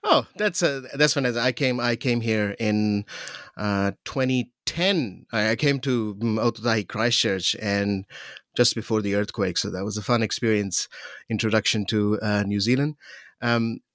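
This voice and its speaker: A man's voice: medium pitch, a bit bright, dry recording. A man from Pakistan hosts a casual academic interview, and his voice sits mid-range and is recorded dry and close. He laughs often and talks with many fillers and restarts. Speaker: interviewer host.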